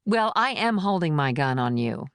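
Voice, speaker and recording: A man's voice: a little high, very animated, moderate pace, fairly bright tone, smooth, dry recording, clean studio sound, pitched above the performer's own voice. A man voicing a menacing, taunting female agent in an audiobook thriller. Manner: taunting, threatening. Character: cold menacing woman agent with gun. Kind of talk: audiobook narration.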